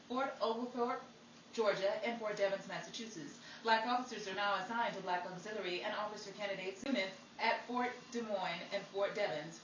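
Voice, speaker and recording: A woman's voice: mid-range voice, a bit bright. A woman hosting in a theatrical style, reading scripted gossip and news like a 1940s radio announcer. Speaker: host and announcer. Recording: roomy, distant recording with background noise.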